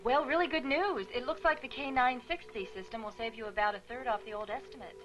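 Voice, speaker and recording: An actress as an earnest businesswoman in her thirties, in an old training film. She speaks fairly high and persuasively, eager to please, with faint music on the soundtrack. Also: quick pace, fairly bright tone, close to an everyday voice, rough recording. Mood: pleased. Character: earnest, persuasive young businesswoman in phone sales.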